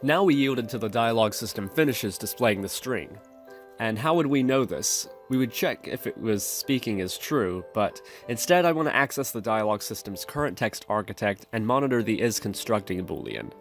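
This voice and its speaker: A man's voice: lowish voice, expressive, normal speed, soft background music. A man hosting a programming tutorial, narrating step-by-step instructions in a screencast style.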